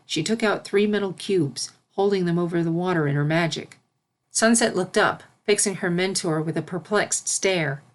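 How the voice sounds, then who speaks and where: A woman reading fan fiction aloud as scripted storybook narration. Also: fairly low pitch.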